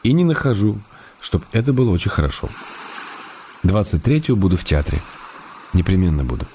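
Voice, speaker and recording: A man's voice: low voice, big pitch swings, brisk, dark tone. A man playing a stubborn but affectionate playwright in a radio play, reading his letters in a restrained, matter-of-fact way. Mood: terse, fond. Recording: faint noise and ambience behind.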